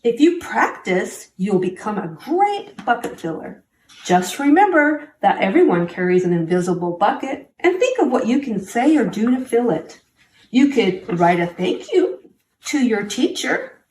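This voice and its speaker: A woman's voice: on the high side, sing-song, measured pace, darkish tone. A woman reading a picture book aloud to children, speaking simply and encouragingly and addressing young listeners directly. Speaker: children's story reader. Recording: roomy, distant mic, faint background noise.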